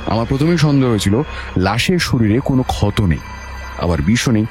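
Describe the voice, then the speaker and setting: A man voicing a shrewd, commanding male detective in an audio story, booming, mocking and authoritative. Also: big pitch swings, brisk, a little mellow. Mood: triumphant, explaining. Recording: music underneath.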